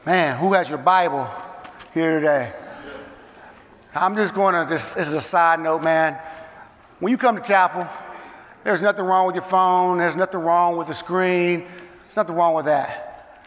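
Slightly hoarse man in a reverberant chapel. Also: sits high, unhurried, pauses often. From South Carolina. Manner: energetic, conversational, says um and uh. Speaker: guest chapel speaker and youth football coach. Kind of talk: casual chapel talk to students. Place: campus chapel.

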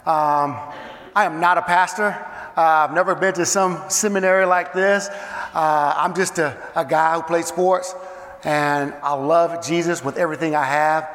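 A man in his thirties from South Carolina, slightly hoarse, giving a casual, energetic chapel talk to students as a guest coach. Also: a little high, a little slow, long reverb tail. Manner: energetic, conversational, says um and uh. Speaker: guest chapel speaker and youth football coach. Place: campus chapel.

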